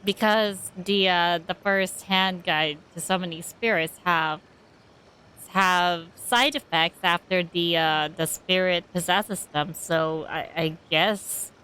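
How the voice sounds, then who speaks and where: Slow-talking, lower-voiced woman game streamer. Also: pushed and bright, clear, resonant tone. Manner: halting, thinking aloud. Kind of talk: casual live game commentary.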